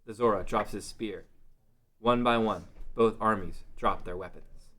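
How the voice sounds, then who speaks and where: A man's voice: fairly low pitch, measured pace, bright, forceful tone. A man narrating a scripted fantasy story as the narrator of a table read.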